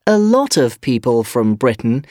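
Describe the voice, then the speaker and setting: A deliberate, clear reader works through textbook exercises at an unhurried pace. The voice moves lively up and down in pitch. The recording is close and dry, with no room around it. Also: dry recording. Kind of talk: slow scripted reading for learners.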